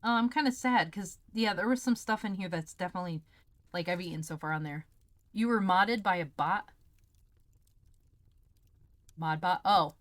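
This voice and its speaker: Candid, sweary woman streamer with a lowish voice. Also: moderate pace, long pauses, dry recording. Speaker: livestream host. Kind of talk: casual chat while taste-testing snacks.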